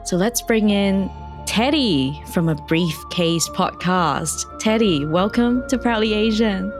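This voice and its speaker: A woman's voice: mid-range voice, slow, over music. A young woman hosting a podcast, interviewing her guest in a casual, conversational style. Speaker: podcast host.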